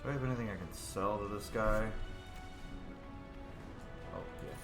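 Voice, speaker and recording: A man's voice: on the low side, normal speed, over music. Casual gamer man in a roomy room. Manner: casual, thinking aloud. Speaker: game player. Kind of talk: let's play commentary, reading dialogue choices. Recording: roomy echo.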